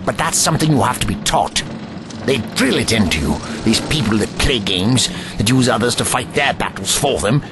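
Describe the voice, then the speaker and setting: A man's voice: animated, normal speed, over music, close to an everyday voice. A man playing an impassioned, principled hero in an audio drama, emphatic and moralising as he pleads for life. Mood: grave, insistent. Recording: vehicle engine noise behind. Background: rumbling vehicle.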